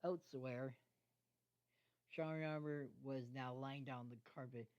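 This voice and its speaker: An amateur reader narrating a fan fiction story aloud in a halting way, stumbling over words and repeating phrases. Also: medium pitch, steady tone, darkish tone. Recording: dull lo-fi microphone sound.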